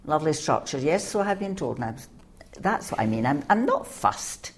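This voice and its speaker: A woman's voice: deep voice, very animated, brisk. A frank, no-nonsense woman with a Scottish accent, chatting casually in an interview.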